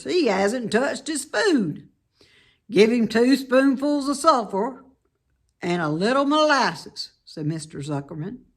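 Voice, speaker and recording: Slow, expressive woman storyteller. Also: medium pitch, breathy edge. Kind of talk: expressive children's storybook narration.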